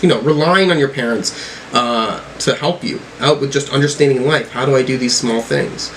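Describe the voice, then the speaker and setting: A man's voice: medium pitch, moderate pace, fairly bright tone. Man musing over music, noisy recording. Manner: musing, thinking aloud while reading out lyrics. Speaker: host of music reaction videos. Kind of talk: casual music reaction and commentary.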